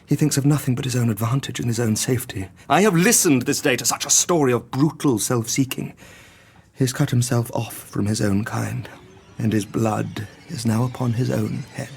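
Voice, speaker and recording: A man playing an earnest, grave doctor in an audio drama, speaking and narrating in an articulate, measured way. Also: mid-range voice, vocal fry, a little mellow, husky. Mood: grave urgency.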